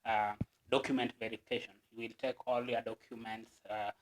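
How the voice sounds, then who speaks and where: A man's voice: mid-range voice, little pitch movement, a little fast, low-quality recording. A Kenyan man, a guest, explaining a process earnestly and at length, with stammered restarts.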